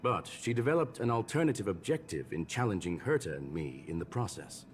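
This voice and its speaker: An actor playing a courteous, calculating scholarly gentleman in a video game, speaking in a formal, measured, polite way. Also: fairly low pitch, big pitch swings, faint music behind. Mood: courteous, calm.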